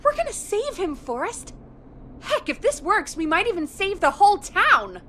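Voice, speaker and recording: A woman's voice: very high-pitched, sing-song, moderate pace, pushed and bright, slight background noise, heavily acted. A woman voicing a perky, gossipy radio show producer in a video game, chatty and enthusiastic. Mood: hopeful, excited. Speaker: radio producer putting calls through.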